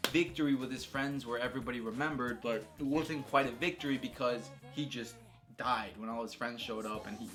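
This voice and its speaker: A man's voice: medium pitch, fairly bright tone. A man giving casual, rambling video game commentary in an enthusiastic, informal, slangy way, often repeating words and circling back. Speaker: video game reviewer and host.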